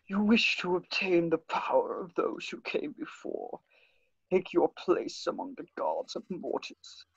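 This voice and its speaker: Ancient alien dark master for sci-fi drama: high, wildly swooping, breathy rasp, slow mocking menace. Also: male voice, expressive, no room sound, full character voice. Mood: commanding. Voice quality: raspy, breathy, creaky. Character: ancient spindly alien Sith master, seven thousand years old, mocking. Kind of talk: fan table read acting. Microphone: video call. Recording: muffled lo-fi video call.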